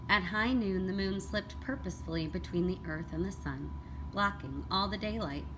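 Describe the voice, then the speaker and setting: A woman reading a children's picture book aloud as a teacher would, in an expressive, deliberate storytelling manner. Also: medium pitch. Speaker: elementary school read-aloud teacher. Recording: clearly noisy background.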